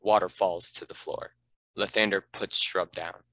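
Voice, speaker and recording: Deep, crisp-toned man narrating a script. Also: fairly even intonation, normal speed, a bit bright, low-quality recording. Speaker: narrator reading stage directions.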